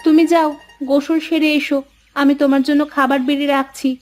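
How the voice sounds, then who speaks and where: Practical village wife for a cartoon folk tale, female actor: high voice, homely, expressive, music behind. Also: fairly quick, close to an everyday voice. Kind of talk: cartoon dialogue voice acting.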